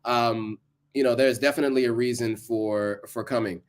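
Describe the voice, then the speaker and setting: A man hosting a live stream, talking through a presentation and answering viewer comments in a casual, halting way with many ums. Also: mid-range voice, fairly even intonation. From United States. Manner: conversational, many ums and restarts. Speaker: livestream host.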